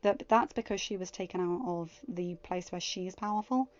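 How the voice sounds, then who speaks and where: A woman narrating a fan story in a steady reading voice, then chatting casually to listeners. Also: on the low side, moderate pace, dull audio. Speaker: fanfiction audiobook reader.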